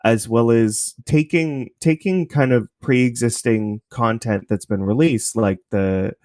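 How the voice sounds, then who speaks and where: A man's voice: mid-range voice, takes it slowly, darkish tone, no echo, studio quality. A man from Canada talking casually and informally as an interview guest, thinking aloud as he explains his creative work.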